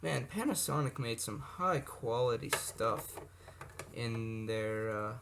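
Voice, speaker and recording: A man with a mid-pitched voice talks casually, like a hobbyist presenting gear on a homemade video. He rambles off the cuff, adding asides and correcting himself as he goes. The amateur recording has clear background noise and clicks. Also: expressive, takes it slowly. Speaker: hobbyist video presenter showing vintage electronics. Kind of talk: casual unscripted product demonstration.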